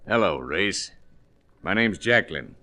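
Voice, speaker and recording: An actor playing a curt, demanding bootlegger-style crime boss in a 1940s radio drama. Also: male voice, low-pitched, animated, slow pace, bright, forceful tone, exaggerated voice. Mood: curt threat.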